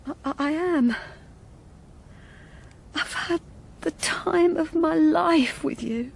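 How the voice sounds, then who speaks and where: An actress playing a timid, wistful middle-aged wife in a radio drama, speaking in a hesitant, confiding way. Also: female voice, high voice, takes it slowly, vocal fry, exaggerated voice. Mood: pleading, hurt. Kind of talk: radio drama acting with first-person narration.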